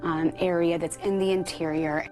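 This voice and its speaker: A woman's voice: lowish voice, flat delivery, normal speed. A woman from Puerto Rico, a news correspondent giving a personal account in an interview over music.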